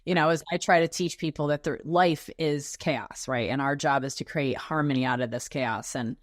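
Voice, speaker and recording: A woman's voice: sits low, steady tone, normal speed, no room sound, studio quality. A woman hosting an interview podcast, talking in an effusive, gushing way with frequent restarts. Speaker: podcast host. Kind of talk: warm interview chat.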